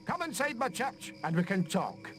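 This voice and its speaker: Solemn priest, fantasy game, high voice, reverent, slow, faint music behind. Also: male voice, very animated. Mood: grateful, reverent. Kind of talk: fantasy video game acting.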